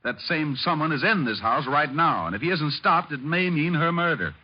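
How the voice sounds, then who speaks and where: An actor playing a wry, cool-headed American private detective in an old-time radio crime drama, bantering breezily. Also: male voice, on the high side, animated, moderate pace, close to an everyday voice. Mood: intent. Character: wisecracking, cool-headed male private detective in a spooky mystery. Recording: noisy, muffled old radio recording.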